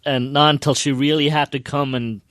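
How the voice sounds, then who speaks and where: A man's voice: fairly high pitch, steady tone, fairly quick, fairly bright tone, clear, resonant tone, dry recording, studio quality. A man with an American accent telling casual anecdotes as a podcast co-host, self-deprecating and quoting people as he goes.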